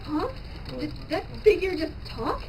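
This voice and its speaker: A woman's voice: very high voice, expressive, a little slow. A woman playing a curious, innocent young girl in a live manga reading, off mic on a noisy recording. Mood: puzzled.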